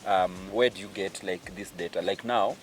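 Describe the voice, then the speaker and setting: A man from Kenya with a Luhya accent, interviewing a guest outdoors in a casual, low-key way. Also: normal speed, a little hiss or hum. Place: outdoors, windy.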